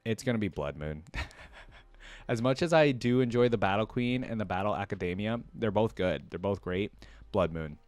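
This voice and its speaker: A man's voice: lowish voice, expressive, normal speed, a little mellow, dry recording. A man hosting a reaction video, giving casual commentary in an informal way, thinking aloud and often indecisive.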